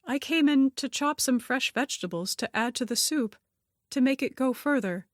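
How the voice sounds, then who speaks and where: A woman's voice: high voice, animated, normal speed, no echo, clean studio sound, voice pushed up. A woman voicing a startled, hurt and guarded younger woman in an audiobook, apologetic and clipped in manner. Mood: flustered, apologetic.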